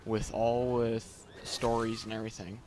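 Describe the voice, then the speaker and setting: A man giving casual, unscripted video game commentary, rambling and halting as he goes, as a reviewer. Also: mid-range voice, flat delivery, darkish tone, a little hiss or hum. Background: game cutscene audio and music.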